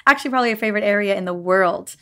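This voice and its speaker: A woman hosting an interview, who reacts eagerly and asks long, rambling questions. Her voice has an ordinary middle pitch. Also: very fast, fairly bright tone, smooth. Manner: enthusiastic, gushing. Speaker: podcast host. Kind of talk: podcast interview.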